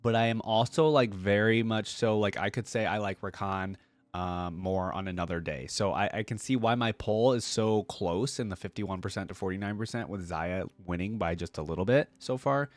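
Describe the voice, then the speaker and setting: A man's voice: fairly low pitch, normal speed, no room sound. A man hosting a reaction video, giving casual, conversational commentary that rambles along.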